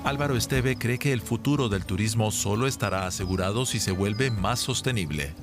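A man narrates a news feature in a measured, even voice that sits on the lower side. His script is read over a clearly audible music bed.

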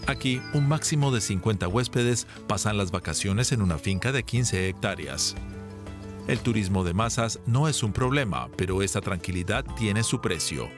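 A man narrates a news feature in a measured, even voice that sits on the lower side. His script is read over a clearly audible music bed.

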